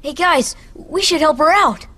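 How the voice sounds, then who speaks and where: Brave boy adventurer: direct, earnest, youthful high pitch, animated game style. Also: unhurried, exaggerated voice. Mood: concerned. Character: brave, eager teenage boy hero. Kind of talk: animated video game acting.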